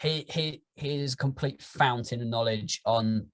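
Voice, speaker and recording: English guy rambling about his hobby, casual podcast chat, mid pitch. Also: a little slow. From England. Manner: rambling, animated storytelling. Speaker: podcast guest, airsofter.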